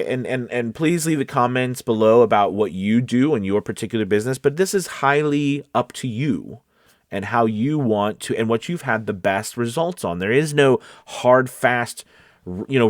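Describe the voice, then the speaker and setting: A man's voice: mid-range voice, moderate pace, dry recording, studio quality. A man talking casually and directly to viewers as a voice-over coach, stressing key words and often checking that they follow.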